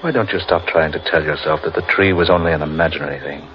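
Commanding urgent man in old radio horror, emphatic, muffled sound, loud music behind. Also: rumbling low, fast talker. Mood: grimly insistent. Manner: theatrical, emphatic delivery. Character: level-headed man lost in a haunted forest, commanding, urgent. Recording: dull vintage radio recording with loud music.

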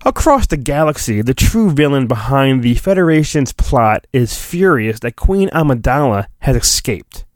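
A man's voice: medium pitch, sing-song, no echo, studio quality. A man hosting a solo podcast, giving a mock-dramatic reading of a children's storybook with theatrical emphasis. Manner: theatrical, drawn-out emphasis, flippant asides.

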